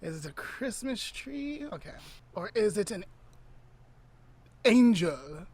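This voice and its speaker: A woman's voice: mid-range voice, big pitch swings, darkish tone, breathy edge. Playful woman drawing out guesses. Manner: drawn-out guessing questions, playful. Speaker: podcast co-host. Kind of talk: casual word-guessing game chat. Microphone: remote call mic.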